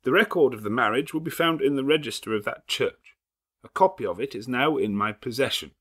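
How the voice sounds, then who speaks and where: A man's voice: medium pitch, a little fast, fairly bright tone, slightly rough, voice pushed up. A man voicing a formal middle-aged male solicitor with a nasal, precise, official way of reading out papers.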